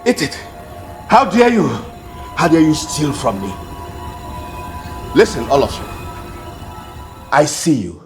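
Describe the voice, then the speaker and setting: An enraged master of the house who harshly berates a thief. His voice is high and swings wildly, leaping into shouts. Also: wildly swooping pitch, slow pace, long pauses, music in the background, heavily acted. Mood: furious.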